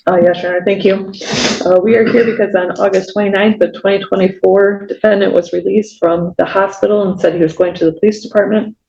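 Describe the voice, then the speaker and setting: A man's voice: high voice, level tone, fairly quick, gravelly, hardly any pauses, dark tone. A man speaking as a prosecutor, giving a formal, matter-of-fact summary in court. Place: echoey indoor courtroom. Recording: muffled, echoey, noisy room.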